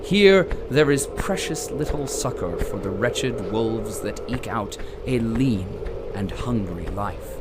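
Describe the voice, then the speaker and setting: A man's voice: lowish voice, lively intonation, slow pace. A man performing as a solemn, portentous narrator of an audio drama, telling the tale in a dramatic, ornate way. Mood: solemn, suspenseful. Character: solemn storyteller of a frozen dystopia. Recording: loud ambient noise behind.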